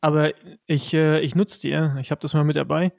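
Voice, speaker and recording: A man speaks as a podcast guest in halting, filler-filled answers. His voice is gravelly and pitched a little high for a man. The sound is dry and close, from a headset on a video call. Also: flat delivery, a little mellow, dry recording. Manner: hesitant, many fillers. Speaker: guest, former pro cyclist. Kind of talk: casual interview chat.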